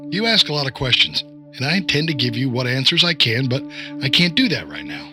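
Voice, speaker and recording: Man playing a smug government agent, lively delivery. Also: medium pitch, fairly quick, music in the background, close to an everyday voice. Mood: coy, controlling. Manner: wordy, mock-polite, patronizing. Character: smug, condescending male government agent toying with his target. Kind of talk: audio drama acting.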